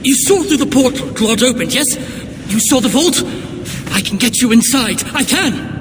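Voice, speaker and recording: A man's voice: very high voice, expressive, moderate pace. A man playing a frantic, cowering dwarf scholar in a video game, stammering and pleading. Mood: pleading, eager. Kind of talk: cinematic video game acting. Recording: long hall reverb, background noise.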